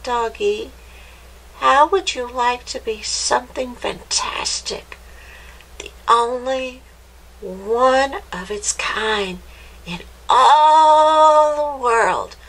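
A woman voicing a gleeful little old witch with a high, screechy voice, chanting spells theatrically in a children's storybook. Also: extremely slow, a bit bright, full character voice, rough recording. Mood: coaxing, boastful. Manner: theatrical, exclamatory, chanting nonsense rhymes.

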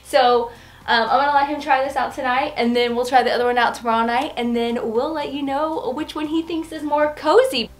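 Female home decor vlogger, higher-pitched, expressive and casual, talking to camera over faint music. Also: brisk. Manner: gushing, expressive. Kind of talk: casual, chatty review to camera. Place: furnished bedroom indoors.

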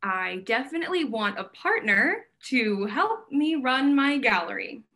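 Young woman doing a smitten blunt gallery owner in a play, highish voice, casual and gushy. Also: lively intonation, a little slow, pushed and bright, exaggerated voice. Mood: flustered. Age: young adult. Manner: casual, gushing, candid. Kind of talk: theatrical staged reading. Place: indoor room at home, video call.

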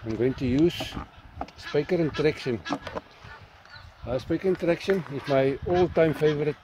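A man's voice: fairly high pitch, unhurried. A middle-aged South African man casually explaining his fishing setup step by step, outdoors by a dam. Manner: relaxed, explains step by step with fillers. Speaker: fishing vlogger. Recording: dull lo-fi with loud outdoor noise.

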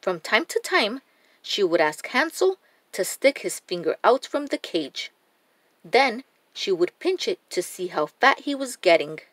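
A woman narrating a children's storybook in a deliberate, expressive reading style. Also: medium pitch, no room sound.

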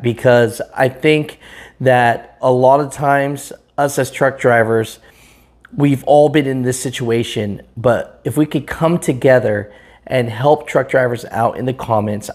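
A man's voice: medium pitch, darkish tone. A man in his thirties hosting a trucking channel, talking earnestly and casually straight to camera indoors. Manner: slow, earnest, talks straight to camera with hand gestures. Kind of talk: casual vlog commentary. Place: indoors in front of a green screen.

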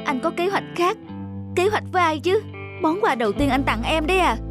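A woman voicing a sensitive, expressive young woman, an emotional girlfriend in a cartoon. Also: extremely high pitch, a little fast, over music. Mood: hurt. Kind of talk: cartoon dubbing.

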